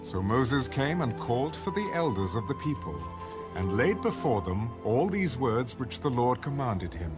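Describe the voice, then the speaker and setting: A man's voice: medium pitch, very animated, normal speed. A man narrating scripture in a scripted audiobook style. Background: music.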